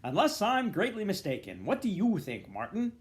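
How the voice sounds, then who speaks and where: A man voicing a blustery, sardonic middle-aged gentleman in a book, loud and emphatic. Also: high voice, wildly swooping pitch, normal speed, pitched above the performer's own voice. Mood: grumbling. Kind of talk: storybook character voice.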